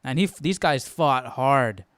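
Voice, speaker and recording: A man gives casual live study commentary in a mid-pitched, smooth voice. He thinks aloud and keeps checking in. The recording is close and dry. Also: lively intonation, takes it slowly, a bit bright, no room sound. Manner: informal, thinking aloud, often checks with "right?". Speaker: Bible study host.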